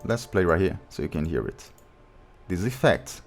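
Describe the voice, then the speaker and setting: A man with a low voice, a music producer walking through a beatmaking tutorial step by step in slightly non-native English. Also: very animated, moderate pace. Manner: plain step-by-step explaining, non-native grammar. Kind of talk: casual screen-recorded tutorial. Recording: beat music playing behind the voice.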